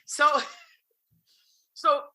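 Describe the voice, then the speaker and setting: Woman podcast host, very bright tone, teasing and chatty, quick to laugh. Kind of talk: casual chat.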